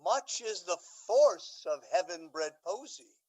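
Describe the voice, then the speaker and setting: An actor playing a pompous, self-satisfied duke in a Shakespeare radio drama, commanding and deliberate as he schemes. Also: mid-range voice, animated, darkish tone, rough recording. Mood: pompous approval.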